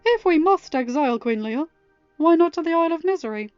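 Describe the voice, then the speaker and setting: Storybook male councillor woman reader, protesting, fussy, formal, raised pitch. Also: animated, a little fast, no room sound, lo-fi sound, soft background music. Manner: indignant, formal.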